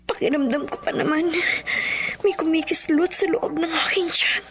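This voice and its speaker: An actress playing a terrified young pregnant wife in a horror radio drama, tearful and trembling with gasping breaths. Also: female voice, very high-pitched, moderate pace. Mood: fearful, tearful.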